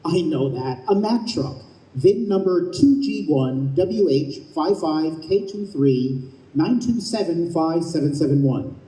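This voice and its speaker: An actor in a live radio play voicing a breezy, businesslike escort of souls, matter-of-fact and officious. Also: male voice, on the high side, lively intonation, measured pace, warm tone, roomy sound, low-quality recording. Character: chipper, businesslike male heavenly escort for souls. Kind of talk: live old-time radio play acting. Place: live stage at a convention.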